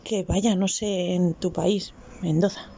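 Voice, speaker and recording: A woman with an accent from Spain giving a casual opinion in a voice message, in a husky voice. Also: on the low side, fairly even intonation, takes it slowly, dark tone. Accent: Spain Spanish. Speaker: listener sending an audio message. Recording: dull, lo-fi voice message.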